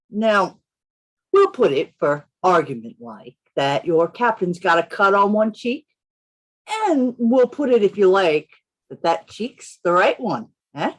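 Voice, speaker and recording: A woman playing a sly, wheedling, falsely friendly male pirate sailor in a radio play. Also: fairly low pitch. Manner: oily, wheedling, rustic sailor's grammar.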